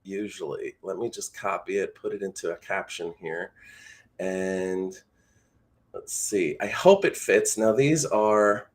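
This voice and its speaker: A man's voice: low voice, takes it slowly. An American man coaching voice-over in a casual, encouraging, live-lesson style.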